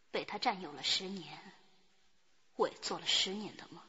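An actress playing an embittered, defiant spurned mistress in her late twenties in a radio drama, scornful and deliberate. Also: lowish voice, sharp tone. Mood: bitter. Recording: muffled, long hall reverb.

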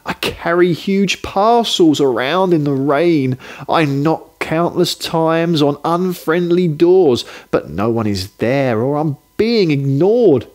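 A man's voice: a little high, animated, slow pace, a little mellow, pitched above the performer's own voice. A man reading the part of a weary, complaining postman in a children's storybook, plaintive and emphatic.

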